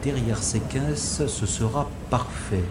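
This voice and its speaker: An actor playing a wry, stubborn special agent who narrates his own science-fiction audio drama in a sardonic, deliberate way. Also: mid-range voice, heavy background noise, close to an everyday voice. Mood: watchful, wry.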